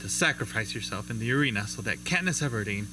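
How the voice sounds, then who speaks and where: A man playing a probing, sympathetic television interviewer with polished, measured delivery in an amateur radio drama. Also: on the low side, animated, background noise, lightly acted. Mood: curious, probing. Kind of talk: amateur radio drama acting, talk show host.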